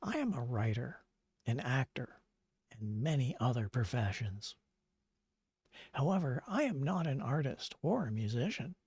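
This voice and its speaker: A man's voice: medium pitch, big pitch swings, measured pace, a little mellow, husky, dry recording, clean studio sound. An older man, chatting casually on his livestream in a gushing way, with lots of fillers. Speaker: streamer.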